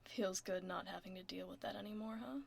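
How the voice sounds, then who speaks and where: A woman playing a laid-back, caring tomboy werewolf office admin in a soft, casual, often breathy ASMR roleplay, close to the microphone. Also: medium pitch, fairly even intonation, brisk, slight background noise. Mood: relaxed, focused. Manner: casual, teasing, reassuring. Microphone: close binaural microphone.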